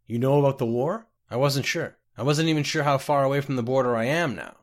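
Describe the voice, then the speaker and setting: A man's voice: mid-range voice, fast, lightly acted. A man playing a bewildered, earnest city clerk lost in the wilds, polite and pleading, in a storybook reading.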